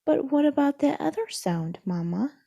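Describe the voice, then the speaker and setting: Storybook joey , female reader: young boy, wide-eyed, excitable, pleading, raised pitch, close dry sound. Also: normal speed, creaky, no echo, lo-fi sound. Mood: curious. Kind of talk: storybook read-aloud.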